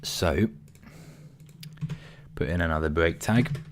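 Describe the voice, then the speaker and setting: A man, voice getting croaky, talking through a coding tutorial casually with many ums and apologies. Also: deep voice, slow, heavy background noise. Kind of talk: screen-recorded coding tutorial.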